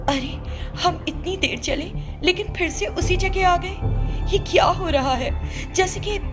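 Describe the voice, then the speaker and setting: A woman's voice: very high voice, slow pace, music in the background, pitched above the performer's own voice. A woman voicing a lost young village girl, plaintive and earnest, in a children's story. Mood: worried. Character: lost young village girls, frightened and pious sisters.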